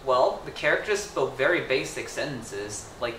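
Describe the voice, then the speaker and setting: A man's voice: mid-range voice, normal speed. A teenage boy, Indonesian his first language, tells a story with lots of rise and fall in his voice. He sounds roomy and a little distant from the mic, with faint noise underneath. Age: teens. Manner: animated, gesturing, playful asides. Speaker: student storyteller. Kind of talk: storytelling speech to camera. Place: small indoor room with wallpaper.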